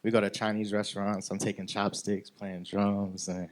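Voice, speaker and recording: Guest, man, early 20s, low voice, easygoing chatter. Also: normal speed, a little hiss or hum. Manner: relaxed, says "like" a lot, laughs. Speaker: guest singer. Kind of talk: casual interview answers.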